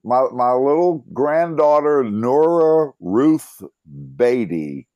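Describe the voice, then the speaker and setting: A middle-aged man from New Orleans with a loud, resonant, medium-pitched voice. His intonation swings widely as he banters and jokes wryly as a podcast guest. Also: expressive, slow pace, highs cut off. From New Orleans, United States. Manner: joking, wry. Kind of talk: casual banter.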